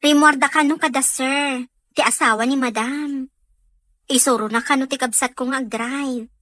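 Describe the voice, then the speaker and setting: A woman's voice: sits high, fairly even intonation, moderate pace, sharp tone, no room sound, studio quality. A woman acting as a practical young working woman chatting with a friend in a scripted Ilocano radio drama. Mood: chatty.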